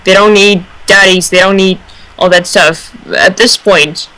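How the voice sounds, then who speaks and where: A woman's voice: mid-range voice, steady tone, unhurried, bright, forceful tone, poor audio. A woman in a casual fan discussion, analysing aloud and searching for her words. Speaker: fan radio panelist.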